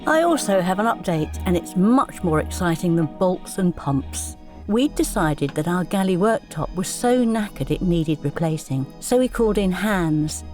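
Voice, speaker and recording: A woman's voice: lowish voice, darkish tone. A woman reading a scripted voice-over as narrator of a sailing vlog. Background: faint music.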